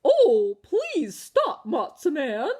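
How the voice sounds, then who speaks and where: A woman voicing a gushing auntie who coos loudly in a children's storybook. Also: sits high, huge pitch swings, very slow, pitched above the performer's own voice, exaggerated voice. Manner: cooing, loud and gushy.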